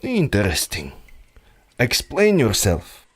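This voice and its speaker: A man's voice: on the low side, wildly swooping pitch, slow pace, slightly rough, full character voice. An actor playing a suave, scheming male villain who speaks in a condescending, theatrical way. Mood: smug.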